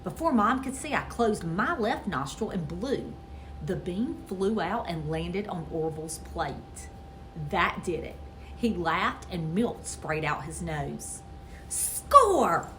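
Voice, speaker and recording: A woman reading a children's story aloud in an expressive, theatrical storytelling manner. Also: fairly low pitch, takes it slowly, a little hiss or hum, low-quality recording. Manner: expressive, theatrical with character voices. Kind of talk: animated dramatic read-aloud.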